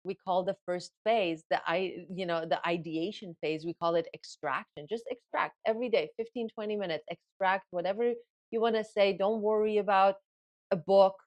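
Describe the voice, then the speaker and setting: A woman whose first language is Farsi, a guest in an interview, explaining her method in lively bursts and acting out inner thoughts. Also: mid-range voice, normal speed. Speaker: guest author and ghostwriting coach. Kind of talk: casual interview talk.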